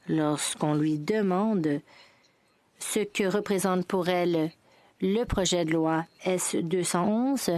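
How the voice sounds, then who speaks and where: Low-voiced woman giving a formal speech. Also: darkish tone, no room sound. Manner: measured, formal delivery with brief restarts.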